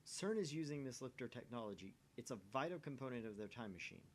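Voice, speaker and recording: A man's voice: medium pitch, animated, fast talker. A man hosting a let's play, reading visual novel narration aloud and chatting casually in a self-deprecating way.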